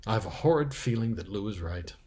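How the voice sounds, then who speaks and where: A practical, uneasy older schoolgirl from a storybook, voiced by a man. She worries aloud in a hesitant way, with a slightly husky tone. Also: lowish voice, animated, fairly quick, darkish tone. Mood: reluctant resolve.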